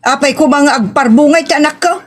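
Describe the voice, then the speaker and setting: A woman's voice: sits high, fairly quick, close to an everyday voice. A woman with an Ilocos accent playing a resentful older mother-in-law who speaks reproachfully in a drama. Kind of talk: Ilocano audio drama acting. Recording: music under the voice.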